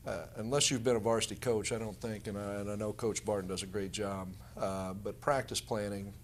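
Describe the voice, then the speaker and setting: A man's voice: on the low side, fairly even intonation, brisk, slight background noise. A man with an American accent speaking as a basketball coach, giving casual interview answers.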